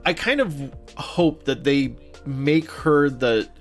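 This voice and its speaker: A man's voice: medium pitch, slow, soft background music. A man co-hosting a podcast, chatting casually and informally about films and games with gushing opinions. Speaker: podcast co-host.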